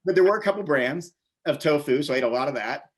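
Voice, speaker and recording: A man's voice: a little high, expressive, quick pace. An American man in his forties telling stories casually as an interview guest, rambling and repeating his words as he goes. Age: 40s. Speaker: interview guest, vegan bodybuilder and author.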